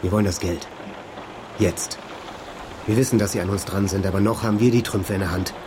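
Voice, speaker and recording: An actor playing a brash, scheming call-centre worker in a radio crime drama, speaking in a blunt, colloquial, pushy way. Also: male voice, low-pitched, fairly even intonation, fairly quick, noisy background, natural voice. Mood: demanding. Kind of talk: naturalistic radio drama acting.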